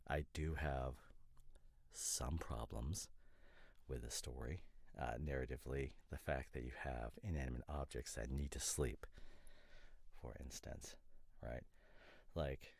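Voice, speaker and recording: Very deep-voiced, opinionated boyfriend played by a man. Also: vocal fry, a little mellow, husky, dry recording, heavily acted. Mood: grudging, nitpicky. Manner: pedantic, exasperated, halting conversational asides. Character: opinionated, nitpicking boyfriend, a professional costume designer. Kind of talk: boyfriend roleplay audio drama, improvised-sounding casual rant.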